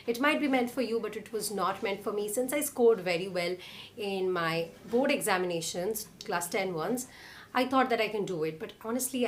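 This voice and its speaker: A woman's voice: medium pitch, slight background noise. A young woman with an Indian accent, telling her own story in a casual, chatty vlog and often using "like" and "you know". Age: young adult. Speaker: vlogger.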